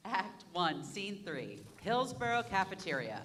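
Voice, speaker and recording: A woman's voice: mid-range voice, big pitch swings, a little slow, a bit bright. A woman reading stage directions aloud in a staged reading of a musical. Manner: plain narration.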